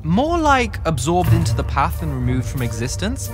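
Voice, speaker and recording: A man's voice: a little high, big pitch swings, moderate pace. An actor playing a flippant, upbeat male comrade in a video game, breezy and teasing. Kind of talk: animated video game acting. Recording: loud music and crowd behind.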